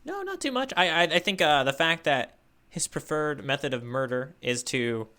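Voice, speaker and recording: A man's voice: a little high, big pitch swings, normal speed, bright, forceful tone. A man co-hosting a podcast in casual discussion, often repeating words as he thinks aloud. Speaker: podcast co-host. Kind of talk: casual chat, manga discussion.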